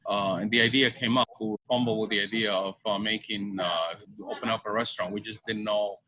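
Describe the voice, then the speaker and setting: Forceful, bright, low-voiced middle-aged man. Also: steady tone, fairly quick, rough recording. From Bolivia. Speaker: restaurant co-owner. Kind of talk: conversational interview answers. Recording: faint background ambience noise.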